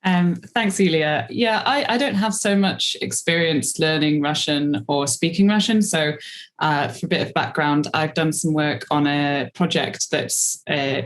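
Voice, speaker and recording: A woman panelist on a video call giving a measured, unscripted answer with frequent ums and self-corrections. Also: sits low. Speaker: webinar panelist.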